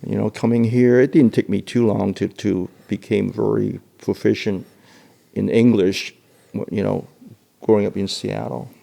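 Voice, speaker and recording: An older man from Hong Kong giving an oral history interview, speaking haltingly with frequent repeated words and laughs. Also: fairly low pitch, flat delivery, gravelly, mellow.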